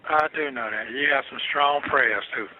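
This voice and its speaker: A man's voice: mid-range voice, normal speed, a loud crowd. A man chatting casually on a personal jail phone call, often repeating short phrases. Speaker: jail inmate calling his wife. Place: jail telephone. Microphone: telephone handset. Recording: very noisy tinny jail phone line.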